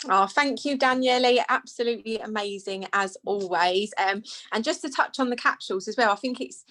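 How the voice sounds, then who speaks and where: A woman's voice: a little high, normal speed, fairly bright tone. A woman hosts an online business presentation in an upbeat, friendly way. She thanks speakers and moves things along with easy "um" fillers. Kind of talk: hosting a business call.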